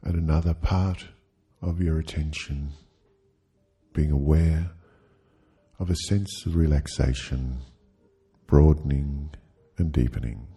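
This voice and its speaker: A man leading a guided sleep hypnosis, speaking soothingly and gently in short, halting phrases with long pauses. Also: a bass voice, fairly even intonation, breathy edge, faint music behind.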